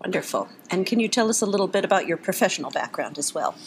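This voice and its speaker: A woman's voice: fairly low pitch, expressive, fast, creaky, slight background noise. A woman interviewing a guest politely, with somewhat hesitant phrasing.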